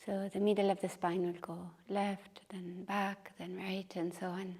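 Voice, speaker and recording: A woman teaching meditation and gentle movement, guiding in short, unhurried phrases with pauses. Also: medium pitch, level tone, husky. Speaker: taiji and qigong meditation teacher. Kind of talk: guided meditation and movement instruction. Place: retreat session, live group class.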